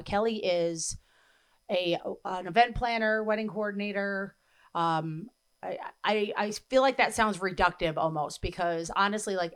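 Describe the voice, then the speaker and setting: A woman's voice: on the low side, a bit bright. A woman hosting a podcast, interviewing a guest in an effusive, chatty way. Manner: effusive and chatty, many fillers. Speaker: podcast host. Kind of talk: casual interview chat.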